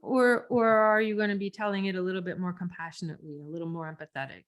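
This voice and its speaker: A woman's voice: lowish voice, fairly even intonation, quick pace, clean tone, dull audio. A woman co-hosting a podcast, teaching speaking technique in a conversational, demonstrative way. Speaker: podcast co-host and coach.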